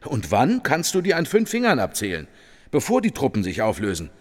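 An actor playing a wary, irritated head of government in a radio drama, insistent and sardonic. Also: male voice, medium pitch, huge pitch swings, brisk. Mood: wary, resolute. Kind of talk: German radio drama acting. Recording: long reverb, music underneath.

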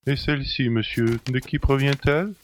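A man's voice: mid-range voice, fairly even intonation, normal speed, slight background noise, lightly acted. A man playing a deferential, discreet household valet in a French audio drama, polite and restrained.

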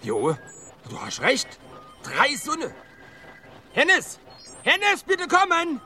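An actor playing Ernst, a chatty, excitable middle-aged space pilot in a radio play. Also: male voice, extremely high pitch, extremely slow, faint music behind, exaggerated voice. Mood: excited. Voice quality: folksy, excitable, rambling.

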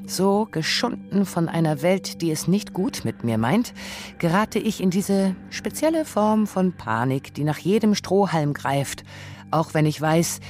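Lowish-voiced woman, pensive radio reading. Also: lively intonation, measured pace, a little mellow, faint music behind. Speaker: radio play performer. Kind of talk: scripted literary radio essay, dramatic reading.

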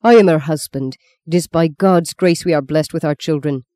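A man's voice: fairly high pitch, measured pace, mellow, no room sound, studio quality, lightly acted. A man voicing a proud, hot-tempered middle-aged father full of remorse, with strained emotion, in an audiobook. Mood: controlled anger.

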